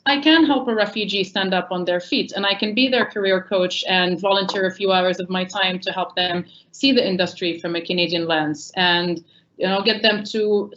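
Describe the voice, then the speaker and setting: A woman's voice: medium pitch, muffled recording. A woman whose first language is not English, speaking earnestly on a panel with many ums and self-corrections. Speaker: panelist from a refugee employment nonprofit. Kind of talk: panel discussion remarks.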